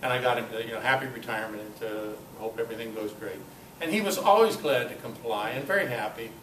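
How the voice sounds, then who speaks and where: A man's voice: medium pitch, fairly bright tone. Older man giving a talk, distant and echoey. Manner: halting, conversational storytelling. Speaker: guest speaker at a club meeting. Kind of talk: informal talk with personal anecdotes. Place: meeting room, in front of an audience. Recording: echoey, noisy room recording from far off.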